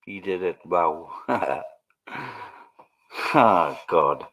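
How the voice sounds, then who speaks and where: Welsh man, livestream host, lowish voice, easygoing rambling chat to viewers. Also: expressive, extremely slow, a bit bright. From Wales. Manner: rambling, musing aloud to viewers.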